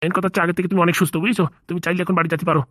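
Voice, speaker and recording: A woman's voice: on the low side, little pitch movement, racing, fairly bright tone, dry recording, studio quality. A woman playing a breezy male hospital doctor in a comedy cartoon, casual, jocular and reassuring.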